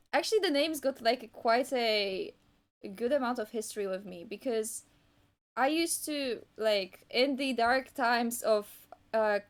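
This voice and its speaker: Young woman, polish native, highish voice, unhurried rambly stream chat w lots of "like" and restarts. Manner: meandering, hesitant, frequent restarts and fillers. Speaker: art streamer. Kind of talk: casual livestream chat while drawing.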